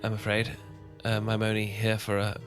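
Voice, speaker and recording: Man voicing a young English vampire gentleman, stammering, courteous, old-fashioned, soft background music. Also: on the low side, completely flat delivery, normal speed, lightly acted. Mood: shy, courteous. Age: young adult. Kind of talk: ASMR romance roleplay.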